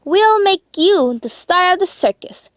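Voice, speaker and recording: A woman's voice: extremely high pitch, animated, unhurried, no echo, clean studio sound, pitched above the performer's own voice. A woman voicing a small, plucky male mouse who is protective, cheerful and confident in a children's storybook. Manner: confident, encouraging.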